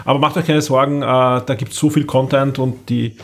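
A man's voice: mid-range voice, level tone, moderate pace, slight background noise. An Austrian-accented man hosting a podcast, chatting casually and emphatically as he reviews, often repeating words. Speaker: podcast host.

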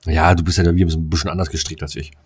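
A man's voice: rumbling low, flat delivery, very fast, breathy edge. A man in casual podcast chat, reacting briefly and asking questions. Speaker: podcast co-host.

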